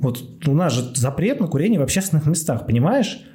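A man's voice: on the high side, sing-song, a little fast, dark tone, a little hiss or hum. A man hosting a casual, improvised podcast in a rambling, blunt way, repeating words for emphasis. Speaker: podcast host, doctor.

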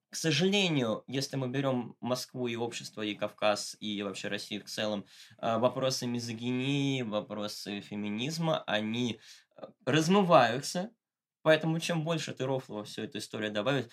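Guy on a podcast answering questions, slangy and kinda ironic, casual chat, medium pitch. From Russia, Moscow. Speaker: interview guest, satirical blogger. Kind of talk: casual podcast interview talk.

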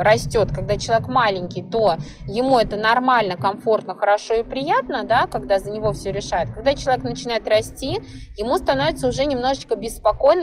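A woman's voice: fairly high pitch, rough recording. A woman hosting a podcast, talking conversationally and expressively in a monologue. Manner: expressive, often acts out imagined inner voices. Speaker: podcast host.

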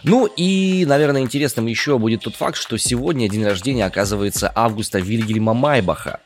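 A man's voice: mid-range voice, a little fast, slight background noise. A man from Siberia co-hosting a morning news podcast over a video call, chatty and teasing. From Siberia, Russia. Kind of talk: news podcast presenting and banter.

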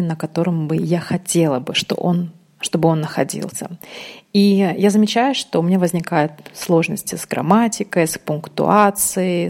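A woman in her thirties from Uzbekistan, hosting a casual podcast monologue, thoughtful and often pausing with ums to correct herself. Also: lowish voice, a little mellow. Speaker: podcast host.

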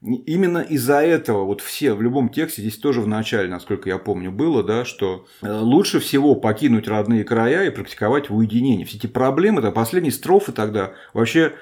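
A man's voice: medium pitch, lively intonation, a little fast, a little mellow. A man hosting a podcast who gives an informal spoken lecture on a Buddhist text, thinking aloud with frequent restarts and a self-deprecating touch.

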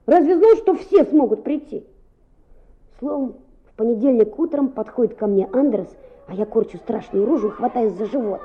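An actress playing a lively, cheeky schoolboy who narrates his exploits in a radio play. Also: a little high, normal speed, narrow, tinny audio, lightly acted. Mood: chatty, boastful. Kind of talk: radio play acting with first-person narration.

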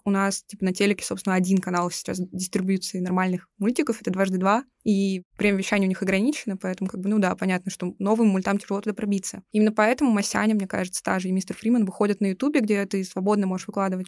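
A woman's voice: mid-range voice, darkish tone, dry recording, studio quality. A woman co-hosting a podcast, talking in a casual discussion style. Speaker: podcast co-host.

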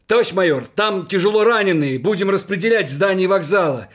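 An actor playing a resolute young army doctor, a lieutenant giving clipped, urgent orders in a radio play. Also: male voice, high-pitched, expressive, fast talker, fairly bright tone. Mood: urgent commanding. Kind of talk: Russian radio play acting.

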